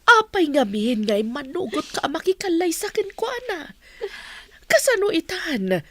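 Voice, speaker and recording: A woman's voice: very high-pitched, very animated, measured pace, no echo, exaggerated voice. A woman with a Filipino accent playing a concerned, firm mother of a bride-to-be in an audio drama.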